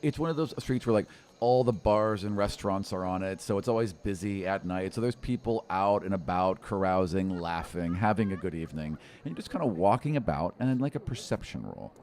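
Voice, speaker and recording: Game master, male: lively, varied intonation, slightly low voice, casual improvised scene-setting into a close studio mic. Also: fairly quick, a little hiss or hum. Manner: casual, descriptive, gesturing. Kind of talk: improvised tabletop game narration. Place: small indoor studio room. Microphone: close podcast microphone.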